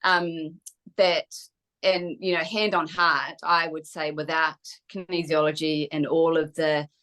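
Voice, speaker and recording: A woman's voice: deep voice, flat delivery, measured pace, fairly bright tone, poor audio. A middle-aged woman with a New Zealand accent, speaking casually and openly as an interview guest in a chatty, reflective way. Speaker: guest, kinesiologist.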